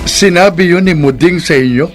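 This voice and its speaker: A man's voice: a little high, normal speed, music in the background, lightly acted. A man playing a stubborn, hot-headed middle-aged husband in a Filipino radio drama, emphatic, with a Filipino accent. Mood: defiant.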